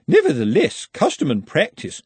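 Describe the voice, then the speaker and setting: A man's voice: fairly high pitch, huge pitch swings, normal speed, slightly rough, no echo, voice pushed up, exaggerated voice. A man voicing a severe, pretentious female housekeeper in an audiobook, with affected diction that makes statements sound like questions. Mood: severe, disapproving.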